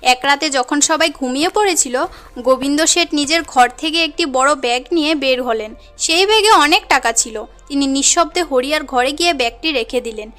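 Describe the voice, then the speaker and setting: A woman tells a cartoon story in a high voice. The delivery is scripted storybook narration, with soft music underneath. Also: moderate pace.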